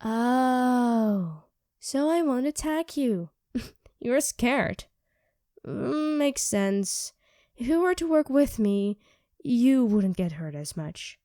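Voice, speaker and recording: A woman's voice: on the high side, animated, drawn-out words, dry recording, studio quality. Woman playing a teasing vampire woman. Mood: coaxing. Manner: teasing, mocking, with soft whispers. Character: sardonic, teasing female vampire, restless and hungry. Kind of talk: ASMR roleplay.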